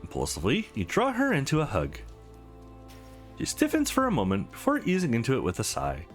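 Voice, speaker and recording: A man's voice: mid-range voice, wildly swooping pitch, brisk. A man hosting a let's play, reading a visual novel aloud and adding casual asides. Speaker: let's play host and narrator. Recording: music behind the voice.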